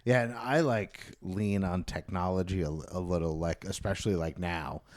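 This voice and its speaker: A man chatting casually as a podcast host, in a rambling, halting, sweary way. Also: deep voice, steady tone, a little mellow. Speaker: podcast host and band bass player. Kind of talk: casual chat.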